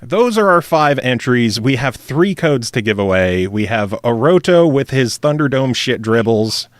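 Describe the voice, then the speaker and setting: A man's voice: mid-range voice, big pitch swings, unhurried, no room sound, clean studio sound. A man hosting a casual gaming podcast, reading listener emails aloud and laughing often. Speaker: podcast host.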